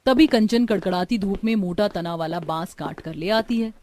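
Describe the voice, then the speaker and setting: A woman's voice: mid-range voice, moderate pace, a little mellow, soft background music. A woman reading scripted narration for a cartoon village family story.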